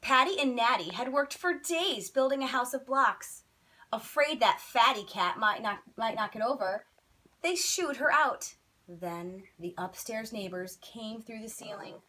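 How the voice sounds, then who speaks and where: A woman's voice: on the high side, measured pace, pushed and bright, low-quality recording. A woman teacher reading a children's bedtime story expressively, with dramatic, emphatic phrasing.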